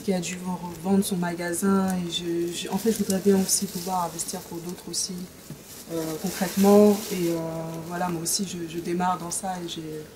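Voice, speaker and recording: A woman's voice: fairly low pitch, flat delivery, normal speed. A woman from French Guiana introducing herself to a group, hesitant and searching for words. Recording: noticeable room noise.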